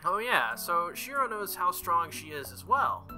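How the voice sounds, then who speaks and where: A man voicing a confident, teasing young woman mage who questions briskly, in a visual novel. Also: sits high, very animated, pushed and bright, soft background music, full character voice. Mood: probing.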